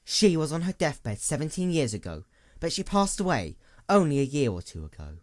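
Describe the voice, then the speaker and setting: A man voicing a weary, bitter female unicorn in a story, with a quiet, measured murmur. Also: a little high, sing-song, no room sound, clean studio sound. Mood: flat, unshakable.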